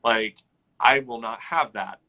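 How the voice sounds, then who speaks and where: A man's voice: mid-range voice, takes it slowly, pushed and bright. A man talking reflectively but firmly in a casual conversation, leaning on "like" as a filler. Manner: reflective, lots of "like" fillers, firm.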